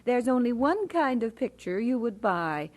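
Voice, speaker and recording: An actress playing a pastor's wife in an audio drama, fond, composed and gently teasing. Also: female voice, fairly high pitch, very animated, normal speed. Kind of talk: audio drama acting and narration.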